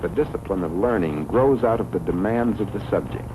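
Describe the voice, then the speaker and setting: A man reads scripted 1950s educational film narration in a measured, formal way, on a vintage film soundtrack. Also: low voice, lively intonation, background noise. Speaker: documentary narrator.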